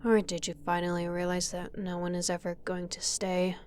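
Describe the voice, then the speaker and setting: A woman playing a menacing, mocking lighthouse keeper who taunts her captive in a slow thriller audio roleplay, slipping into breathy whispers. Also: lowish voice, monotone, darkish tone, a little hiss or hum, natural voice. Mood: scornful.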